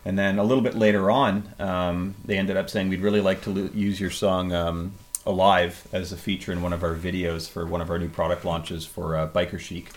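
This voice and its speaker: A man who plays in a band, telling a story in a relaxed, casual way with plenty of ums during an interview. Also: low voice, a little fast, hardly any pauses. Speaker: band member.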